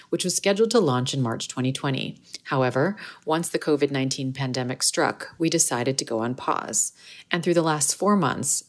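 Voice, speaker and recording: A woman psychiatrist reading a scripted podcast introduction and disclaimer in a measured, formal way. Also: extremely low pitch.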